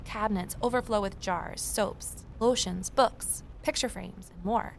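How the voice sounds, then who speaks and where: A woman's voice: medium pitch, measured pace, gravelly, a little hiss or hum. A woman playing a curious, determined investigator who narrates an audio drama in a reflective, conversational way, with ambience behind her. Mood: observant, unsettled. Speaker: narrator and lead character. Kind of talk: first-person audio drama narration and dialogue.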